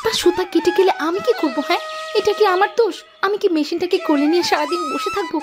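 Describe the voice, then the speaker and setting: Woman as a fast, high-pitched harried seamstress. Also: huge pitch swings, over loud music, exaggerated voice. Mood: exasperated. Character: harried, quarrelsome village seamstress wife. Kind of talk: animated cartoon voice acting.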